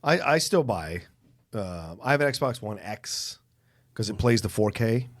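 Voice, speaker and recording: A man's voice: fairly low pitch, expressive, a little slow. A man co-hosting a podcast in casual back-and-forth, readily agreeing and chiming in. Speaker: podcast co-host. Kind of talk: casual banter.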